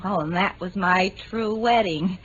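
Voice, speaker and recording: A woman's voice: medium pitch, unhurried, a bit bright, slightly rough. A woman playing a frank, impulsive young American bride in vintage radio drama, speaking earnestly and a little breathlessly, with an American accent. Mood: eager, confiding. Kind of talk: 1950s-60s BBC radio drama acting. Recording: dull vintage radio recording, faint noise.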